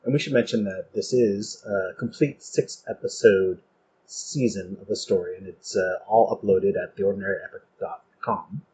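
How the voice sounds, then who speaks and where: A man's voice: on the low side, fairly even intonation, moderate pace, a little mellow. A man hosting a podcast, asking questions and chatting casually. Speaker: podcast host. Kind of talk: casual interviewing. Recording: dull lo-fi audio, clearly noisy.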